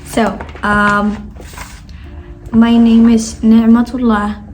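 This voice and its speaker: A woman's voice: on the high side, flat delivery, drawn-out words, darkish tone, slight background noise, poor audio. A nineteen-year-old woman from Spain chatting casually in a sit-down question-and-answer vlog, rambling with lots of fillers and self-corrections. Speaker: vlogger. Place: indoors at her sister's home.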